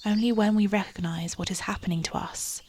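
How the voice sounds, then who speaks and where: A woman leading a guided meditation in a soft, breathy, near-whispered voice, calm and soothing, with measured phrasing and long pauses. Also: mid-range voice, slight background noise.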